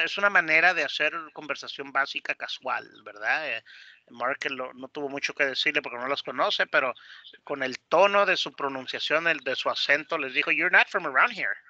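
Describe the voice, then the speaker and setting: A male English teacher explains a lesson in a very bright, forceful voice. He pauses and repeats words as he goes. The sound is close and dry, with a slightly dull online-class quality. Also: medium pitch, dry recording.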